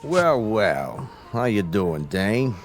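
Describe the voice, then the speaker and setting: An actor playing a jovial, falsely friendly crime boss in a noir radio drama, chummy and commanding. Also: male voice, on the low side, sing-song, very slow, over music, full character voice. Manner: chummy, commanding, smooth-talking.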